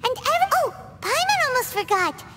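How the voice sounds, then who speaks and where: A woman voicing a small, chirpy, childlike fairy companion in a video game, excitable and talkative. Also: normal speed, heavy background noise. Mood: chatty. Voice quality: squeaky, childlike. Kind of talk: video game character dialogue. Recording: long hall reverb, game music.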